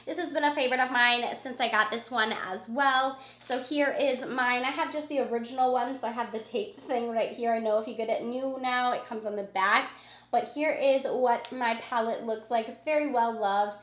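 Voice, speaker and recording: A woman's voice: a little high, fairly even intonation, moderate pace, a bit bright, reverberant. Scratchy-voiced woman vlogger with a cold. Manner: gushing, repeats words for emphasis. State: has a cold, sniffling. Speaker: beauty vlogger. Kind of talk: casual product review chat.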